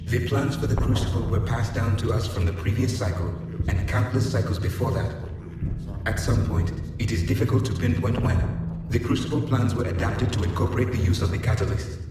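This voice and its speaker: An actor playing an ancient alien artificial intelligence in a video game, speaking in a formal, measured, detached manner. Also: male voice, mid-range voice, lightly acted. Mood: impassive. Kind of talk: scripted video game dialogue. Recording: echoing, distant, noisy game audio capture.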